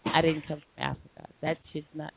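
A woman's voice: deep voice, level tone, a little fast, vocal fry, slightly rough, dry recording. A woman hosting a late-night call-in radio show. She argues back emphatically and repeats herself. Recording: tinny phone line with faint hiss.

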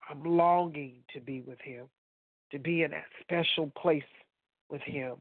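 A woman's voice: deep voice, unhurried, no echo. A woman co-hosting a faith talk radio show with a stuffy nose, testifying emphatically and talking straight to listeners. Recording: dry phone-line sound.

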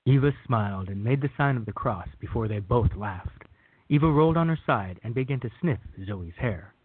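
A man with a husky voice reading scripted narration for a radio drama. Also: mid-range voice, lively intonation, normal speed. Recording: thin phone-like band.

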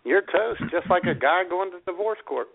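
A man's voice: sits high, expressive, moderate pace, vocal fry, breathy edge. A man co-hosting a comedy radio show in casual banter, chiming in with short wisecracks. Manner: wisecracking, interjecting. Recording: tinny phone-like internet radio audio.

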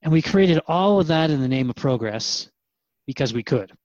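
An earnest human seeker played by a man in a radio drama, with a slightly high male voice. He questions in a conversational, sometimes halting way. The recording is dry and a touch dull. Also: animated, a little mellow, dry recording, natural voice. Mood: earnest, reflective. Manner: hesitant, inquisitive, earnest.

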